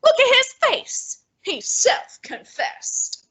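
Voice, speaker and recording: A woman playing a pompous male genius detective, using precise and measured diction in a student radio drama. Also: very high-pitched, huge pitch swings, pushed and bright, heavily acted. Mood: deducing. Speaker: student actor.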